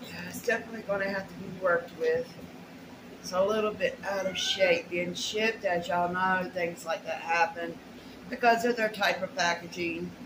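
A woman's voice: fairly low pitch, steady tone, moderate pace. A middle-aged woman chats casually through an unboxing at home, rambling in a folksy way with muttered asides. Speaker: haul vlogger. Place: small bare room at home. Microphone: camera or phone a short distance away. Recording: roomy echo, clear background noise.

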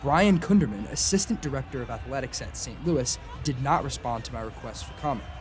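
A man's voice: medium pitch, lively intonation, fairly quick, music in the background. A young adult man with an American accent reading scripted narration as a student TV news reporter.

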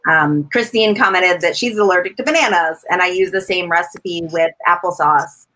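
A woman's voice: on the low side, normal speed, pushed and bright, poor audio. A woman in her thirties with a pastry background, chatting casually on a video call from her home kitchen. She is chatty and laughs between thoughts. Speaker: pastry baker guest.

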